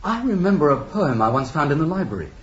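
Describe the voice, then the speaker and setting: A man's voice: a little high, big pitch swings, fast talker, highs cut off. An actor playing an earnest, inquisitive starship crewman in a science fiction radio drama. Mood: reflective. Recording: echoey, band-limited old radio recording.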